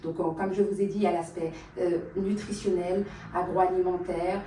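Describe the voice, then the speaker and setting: A woman's voice: on the low side, level tone, normal speed, warm tone, a little hiss or hum. A woman hosting an interview, effusive in her thanks and asking questions. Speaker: host. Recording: echoey room, from far off.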